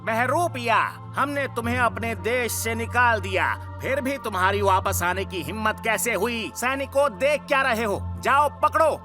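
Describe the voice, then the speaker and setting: A scheming royal minister in a dubbed cartoon. The voice sits high and has a forceful, bright edge. He declaims with pompous, villainous authority. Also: moderate pace, few pauses, soft background music. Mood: angry, commanding. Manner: imperious, declamatory. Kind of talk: Hindi cartoon dub acting.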